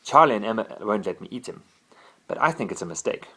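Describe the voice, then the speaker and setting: A man's voice: fairly low pitch, expressive, quick pace, bright, forceful tone, slightly rough, full character voice. A man voicing a friendly magic dragon in a bedtime story, matter-of-fact and conspiratorial. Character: male magic dragon, friendly but eager to eat bullies.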